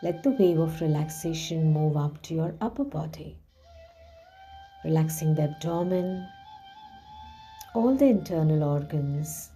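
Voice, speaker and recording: A woman leading a guided relaxation visualization in a soothing, hushed manner with gently drawn-out phrasing. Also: sits low. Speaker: guided meditation host. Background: background music.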